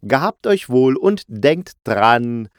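A man with a medium-pitched voice hosts a podcast in a loose, conversational style. He is playful and jokey, correcting himself as he goes. The sound is close and dry. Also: very animated, takes it slowly, no room sound. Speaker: podcast host and audio drama reviewer. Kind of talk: casual, chatty solo review.

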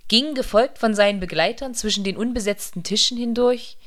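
A woman narrating a literary radio play from a script in a measured, serious manner. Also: on the high side.

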